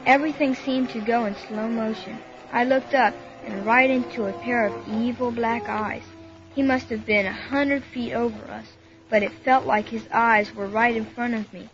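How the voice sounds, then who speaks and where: A woman's voice: a little high, steady tone, normal speed, close to an everyday voice. A woman playing an earnest, frightened young boy who narrates an adventure story dramatically. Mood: tense storytelling. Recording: dull lo-fi recording, music behind.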